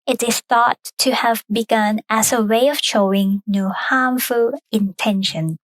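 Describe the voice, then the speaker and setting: Woman with a high, dry voice. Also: no room sound. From Thailand. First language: Thai. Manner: careful, measured explaining in second-language English. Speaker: podcast co-host. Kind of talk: explanatory cultural talk.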